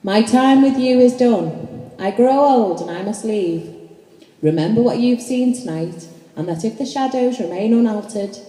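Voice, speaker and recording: A woman's voice: on the high side, lively intonation, unhurried, dark tone. A woman playing a stern, solemn and reproachful ghostly spirit in a radio play, speaking portentously. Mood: solemn warning. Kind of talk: amateur stage radio play acting. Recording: echoey hall with long reverb.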